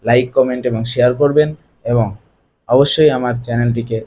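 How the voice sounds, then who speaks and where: A man's voice: mid-range voice, steady tone, fairly quick, mellow. A man narrating a step-by-step screen tutorial as its host.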